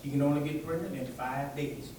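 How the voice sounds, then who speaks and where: A man preaching an animated, folksy sermon to listeners, tossing out rhetorical questions and colloquial asides. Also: on the high side, a little fast, heavy background noise. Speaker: preacher giving a public religious address. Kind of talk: animated sermon with call-and-response to a live audience. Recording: echoey hall, distant microphone.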